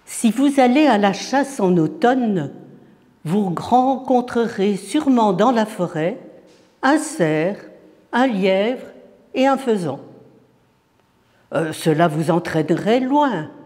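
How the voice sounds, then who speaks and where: A man reciting poetry in a dramatic, declamatory manner, phrase by phrase with deliberate pauses. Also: high-pitched, animated, darkish tone. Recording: long reverberant hall echo.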